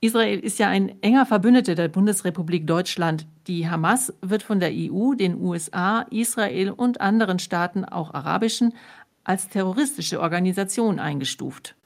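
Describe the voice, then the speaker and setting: A woman's voice: medium pitch, normal speed. A woman from Germany, co-hosting and narrating a scripted radio feature. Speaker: co-host of a radio programme.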